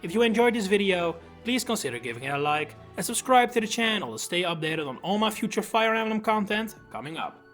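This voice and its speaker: A young man with a Dutch accent, slightly high-voiced and highly animated, narrates his scripted video essay close to the mic. His delivery is enthusiastic, with wry little asides, and faint music plays softly underneath. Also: a little fast, hardly any pauses. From Netherlands. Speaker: YouTube host. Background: faint background music.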